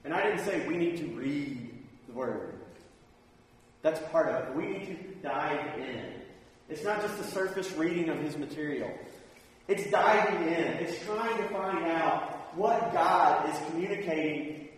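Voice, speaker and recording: A man delivers a sermon in an informal, conversational style, with a slightly high-pitched voice. He sounds plain-spoken, recorded at a distance in a heavily echoing hall with long reverb. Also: lively intonation, a little slow. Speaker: preacher. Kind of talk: sermon with personal anecdotes. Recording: echoey hall, distant mic, faint noise.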